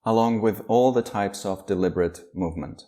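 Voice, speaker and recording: A man leading a guided meditation, speaking slowly and calmly with long pauses between phrases. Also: fairly low pitch. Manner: slow, calm, with long pauses. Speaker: meditation teacher.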